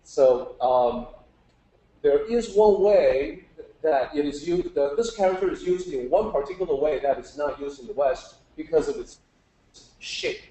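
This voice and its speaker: A man's voice: fairly high pitch, expressive, unhurried, warm tone. A man teaching informally to a group, explaining patiently step by step and putting questions to his listeners. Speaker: teacher giving a public talk. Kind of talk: informal lecture explaining Chinese characters. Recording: roomy, echoing live talk.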